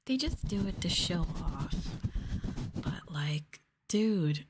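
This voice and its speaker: A woman speaking softly and casually in an ASMR livestream style, breathy and often slipping into a whisper, close to the microphone. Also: fairly low pitch, expressive, takes it slowly, noisy background. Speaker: VTuber streamer. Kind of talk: ASMR soft talking, casual livestream chat.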